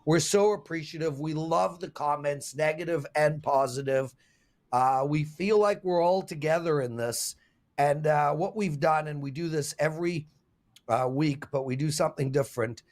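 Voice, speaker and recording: A South African man hosts a sports betting show, talking in a lively, animated way. His voice sits high for a man, and he darts into quick asides before circling back to the point. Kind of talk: casual betting show chat.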